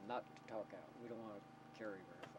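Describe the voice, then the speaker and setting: A man who runs a community radio station explains things informally in an interview, halting and choosing his words carefully, on a noisy old documentary recording. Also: big pitch swings. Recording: noisy 1990 documentary tape, vehicle or generator rumble.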